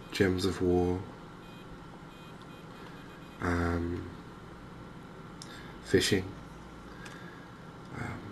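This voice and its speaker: A soft-spoken man giving hesitant, measured ASMR-style commentary as host of a gaming video. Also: deep voice. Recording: clear steady background noise.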